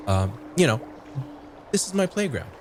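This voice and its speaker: A man playing a mischievous, playful fey river creature in a tabletop roleplay, speaking in a slow, drawn-out, savoring way. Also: mid-range voice, big pitch swings, background noise, voice pushed up, natural voice.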